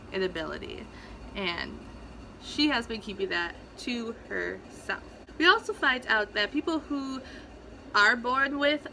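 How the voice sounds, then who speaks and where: A woman with a very bright, forward voice gives a casual book recap. She sits a little high in pitch and talks conversationally, stressing key phrases as she goes. Some background noise sits under her voice. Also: rough recording. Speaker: book reviewer hosting a read-along recap.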